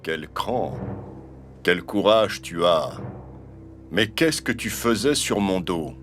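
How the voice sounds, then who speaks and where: A man playing a proud, gruff lion king in an animated fable, with a haughty, commanding manner. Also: low-pitched, takes it slowly, faint music behind. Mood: impressed. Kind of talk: animated fable character acting.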